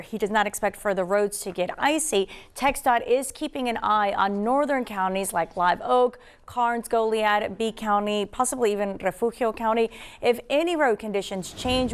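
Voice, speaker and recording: A woman's voice: on the high side, moderate pace, clean tone. An American woman reading news as a TV anchor.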